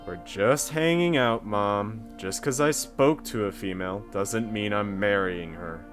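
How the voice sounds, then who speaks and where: A man's voice: fairly low pitch, unhurried, soft background music, close to an everyday voice. A young man acting a sarcastic, wounded son in an audio drama, with a wry, dramatic, mock-formal manner. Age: young adult. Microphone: close studio condenser with pop filter.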